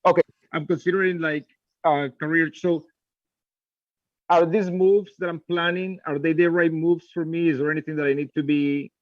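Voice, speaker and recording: A man's voice: fairly high pitch, fairly even intonation, normal speed, dry recording. A young man calling into a live show to ask an earnest, rambling question about his plans. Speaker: caller. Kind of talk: asking a question on a video call. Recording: tinny, phone-like line.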